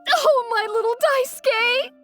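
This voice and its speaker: A woman playing an anxious, gentle village mother in a video game, earnest and pleading. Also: very high voice, slow, music in the background. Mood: worried.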